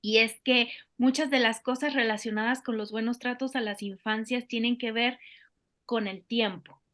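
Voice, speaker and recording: Bright-voiced woman host. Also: fairly high pitch, fairly even intonation, normal speed. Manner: thoughtful, builds on the guest's points. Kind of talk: interview hosting.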